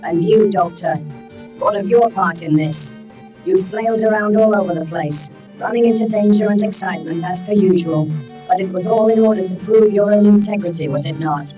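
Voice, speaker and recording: A man's voice: high voice, normal speed, dark tone, faint music behind, voice pushed up, natural voice, poor audio. A man voicing a talking handbag that scolds everyone in a lofty, reproachful tone in an audiobook. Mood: stern.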